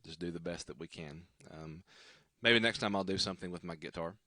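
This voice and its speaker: A man with an extremely low baritone, his voice worn and scratchy. He talks casually and haltingly, with lots of ums and restarts. The sound is close and dry. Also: bright, forceful tone, no echo. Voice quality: deep baritone. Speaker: worship singer hosting a livestream. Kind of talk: casual livestream sign-off chat. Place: at home.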